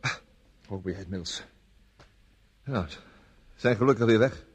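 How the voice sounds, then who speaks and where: An actor playing a nervous, evasive man who speaks haltingly in a radio play. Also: on the low side, breathy edge. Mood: uneasy, conspiratorial. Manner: halting, hesitant. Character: nervous, evasive male witness hiding something.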